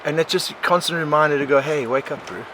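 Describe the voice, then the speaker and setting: A South African man in his forties, a podcast guest, telling his life story in a rambling, animated way, often laughing. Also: a little high, quick pace. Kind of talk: casual conversational storytelling. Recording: outdoor recording with clear background noise.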